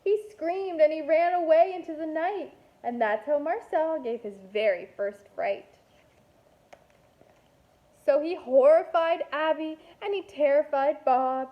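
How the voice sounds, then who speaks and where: A woman reading a picture book aloud to young children like a kindergarten teacher, expressive and deliberate, with playful asides. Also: high-pitched, lo-fi sound.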